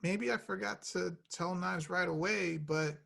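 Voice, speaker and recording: High-voiced, petulant young slacker hero, acted by a man. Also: unhurried, creaky, a bit bright, heavily acted. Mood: flustered pleading. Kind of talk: table read of a film script.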